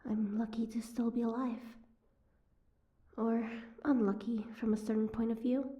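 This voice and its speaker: A woman's voice: fairly high pitch, level tone, normal speed, warm tone, lightly acted. A woman playing a sardonic, guarded captive mermaid in an audio roleplay, with a wry, teasing, prickly manner. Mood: resigned, bitter.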